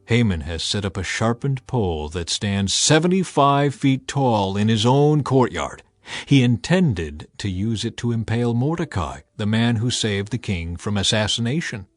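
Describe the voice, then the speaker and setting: A man voicing a royal eunuch attendant who reports to his king with deliberate emphasis in a Bible drama. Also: on the low side, lively intonation, dry recording, soft background music, lightly acted. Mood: accusing. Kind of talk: dramatized scripture reading.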